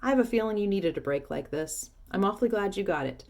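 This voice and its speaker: A woman voicing a fat, jolly middle-aged male shopkeeper who exclaims loudly and gets carried away. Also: medium pitch, fast talker, gravelly. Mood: kindly. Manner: excitable, loud and exclaiming.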